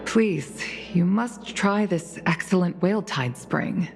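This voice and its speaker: A woman's voice: lowish voice, animated. An actress playing a composed, protective middle-aged veteran pilot mother in a video game, speaking formally and with weariness. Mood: gracious, apologetic. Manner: formal, measured, gracious. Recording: background music under the voice.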